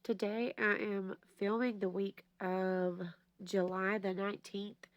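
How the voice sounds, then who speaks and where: A woman's voice: medium pitch, flat delivery, slow pace, no room sound, highs cut off. A woman, chatting and rambling to her viewers while she plans, her voice a little nasal and stuffy from allergies. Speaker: planner vlogger. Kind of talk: casual rambling chat to camera.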